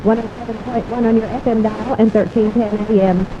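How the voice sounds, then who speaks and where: A dull, noisy radio recording carries a woman announcer reading a station identification. Her voice sits a little high. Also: steady tone, fairly quick. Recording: dull radio aircheck with clear noise.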